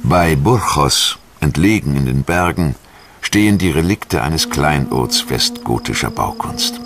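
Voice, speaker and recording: A man's voice: very deep voice, animated, unhurried. A man reading scripted documentary narration as the narrator. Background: background music.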